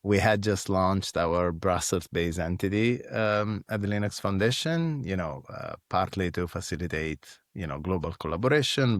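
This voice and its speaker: A man with a thick Italian accent and a deep, low voice, talking through a long interview answer. He speaks thoughtfully, with plenty of ums and restarts. The sound is close and dry, with no room around it. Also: no room sound. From Italy. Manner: thoughtful, frequent fillers and restarts. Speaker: guest, foundation executive.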